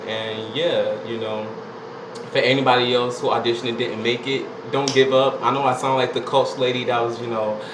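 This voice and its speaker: A man's voice: mid-range voice, little pitch movement, moderate pace. Chatty young man. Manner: laid-back, chatty, lots of "you know" and "like". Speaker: vlogger. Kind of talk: casual storytelling vlog. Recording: echoey room with air conditioner hum.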